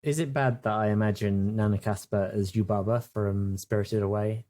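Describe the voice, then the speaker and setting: A man's voice: fairly low pitch, fairly even intonation, moderate pace, dark tone. A man chatting casually as a player in a tabletop role-playing game.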